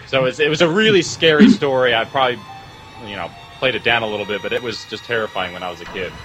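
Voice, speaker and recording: A man's voice: medium pitch, animated, fast talker, fairly bright tone, rough recording. Rambling male panelist with music behind him. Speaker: horror audio writer and panelist. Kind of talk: casual anecdotal storytelling.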